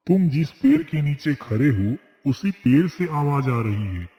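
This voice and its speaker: A man's voice: on the high side, big pitch swings, unhurried, muffled recording. A man voicing a kindly, wise magical tree in a cartoon moral story, speaking in a solemn, grand manner. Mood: solemn, benevolent. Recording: long cavernous reverb.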